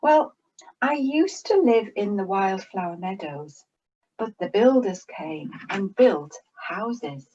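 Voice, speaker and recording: A woman voicing a gentle young male bumblebee in a children's storybook, in a bright, expressive voice. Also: mid-range voice, takes it slowly, slightly rough, muffled recording. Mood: worried.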